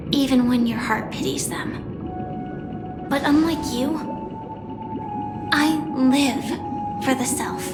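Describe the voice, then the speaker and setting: Slow, high-voiced earnest young heroine. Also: female voice. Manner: deliberate, earnest. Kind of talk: scripted video game voice acting. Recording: loud music over the voice.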